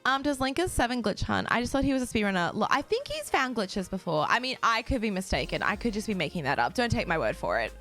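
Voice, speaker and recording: A young woman streaming a game and chatting casually with her viewers, bubbly and quick to laugh, her voice a little raspy from just waking up. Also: mid-range voice, sing-song, a bit bright, faint music behind. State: slightly raspy, just woken up. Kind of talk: casual chat with a live stream audience.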